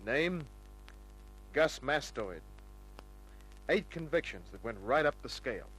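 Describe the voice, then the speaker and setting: An actor playing a cocky, wisecracking private detective who narrates his own case in a dry, smooth-talking way, in an old-time radio drama. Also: medium pitch, very animated, takes it slowly, a bit bright, full character voice, rough recording. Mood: wry narrating. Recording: old radio recording with music.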